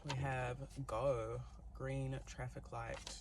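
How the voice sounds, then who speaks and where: NZ-accented man, chatty tarot reader, gravelly tone, faint background noise. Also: mid-range voice, flat delivery, slow. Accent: New Zealand. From New Zealand. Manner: chatty and giggly, many fillers. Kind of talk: casual tarot reading to camera.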